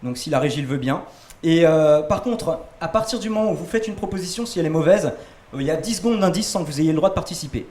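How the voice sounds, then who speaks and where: A man's voice: a little high, expressive, quick pace, darkish tone. A man hosting a rock music quiz, chatting casually and teasing his guests, with lots of fillers. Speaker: quiz host. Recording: roomy echo with faint noise.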